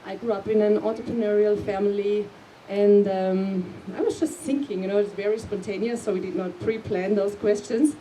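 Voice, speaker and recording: A woman from Austria talks on a panel, friendly and rambling, with plenty of fillers. Her voice is mellow, and she sounds a little distant from the mic, with a faint hum behind her. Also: mid-range voice, steady tone, normal speed, few pauses. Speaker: panel guest. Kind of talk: casual panel talk.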